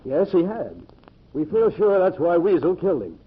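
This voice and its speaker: An actor playing a determined, vengeful lawman in an old-time radio mystery, forceful and resolute. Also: high voice, big pitch swings, moderate pace, husky, full character voice. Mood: grim, resolute. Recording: thin, phone-like old radio recording.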